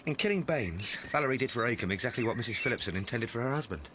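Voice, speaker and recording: A man playing a loyal, careful detective sergeant who talks briskly. He is earnest and respectful, in radio drama style, with plain background noise around him. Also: mid-range voice, expressive, lightly acted. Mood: alert. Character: loyal, cautious male police sergeant, deferential to his boss.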